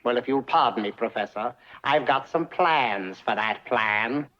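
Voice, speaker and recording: An actor playing a pompous, scheming Mad Hatter in a theatrical 1950s educational film style, with a husky voice. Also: male voice, medium pitch, measured pace, sharp tone. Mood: smug, dismissive. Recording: dull vintage film soundtrack.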